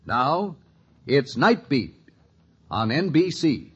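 A man's voice: medium pitch, very animated, takes it slowly, slightly rough. An American man reading a scripted 1950s radio network promo as the announcer. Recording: muffled old radio broadcast recording.

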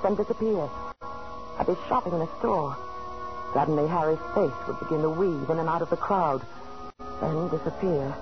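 Actress as bitter, stifled wife, old radio drama. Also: female voice, on the low side, close to an everyday voice. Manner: tense, confiding, dramatic. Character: bitter, stifled wife telling of her failing marriage. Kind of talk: 1940s radio drama acting. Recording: dull 1940s radio recording with music.

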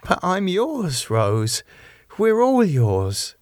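Man voicing a timid, high-pitched anxious woman. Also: huge pitch swings, drawn-out words, dry recording, studio quality, exaggerated voice. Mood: hurt, anxious. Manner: anxious, hesitant. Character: timid, devoted woman in her forties.

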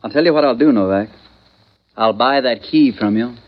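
A man's voice: medium pitch, moderate pace, close to an everyday voice. An American man playing a smug, coolly menacing schemer in a 1940s radio noir, with sardonic, condescending wisecracks. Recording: muffled vintage 1940s radio recording.

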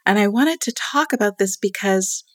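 A middle-aged woman telling a personal story in a casual chat, animated and quick to laugh, with a loud voice. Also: medium pitch, dry recording, clean studio sound. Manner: calls herself loud-voiced.

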